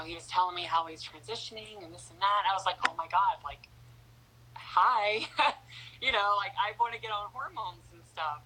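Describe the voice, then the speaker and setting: A young woman chats casually as an interview guest, filling her talk with "like" and "you know". Her voice is bright and sits a little low, with a faint hum behind it. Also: low-quality recording. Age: young adult. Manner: chatty, fillers like "like" and "you know", laughs easily.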